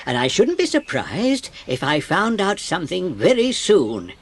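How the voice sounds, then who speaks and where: An avuncular old English gentleman played by a woman in a children's audiobook drama. He takes his time, musing kindly as he goes, and his pitch swoops widely up and down. A faint noise sits behind the voice. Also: huge pitch swings. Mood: kindly, amused. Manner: avuncular, deliberate, given to musing aloud.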